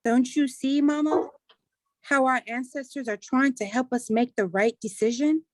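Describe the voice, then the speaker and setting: A woman's voice: sits high, normal speed, no echo, close to an everyday voice. A woman playing an earnest, persuasive adult daughter in a staged play reading. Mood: pleading.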